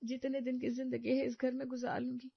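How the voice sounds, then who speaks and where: A patient, put-upon young wife in a cartoon story who speaks with quiet resignation, voiced by a woman narrator. The audio is dull and lo-fi and sounds dry. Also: high-pitched, monotone, a little fast, vocal fry, breathy edge, dry recording, voice pushed up, full character voice. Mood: resigned. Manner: sorrowful, resigned.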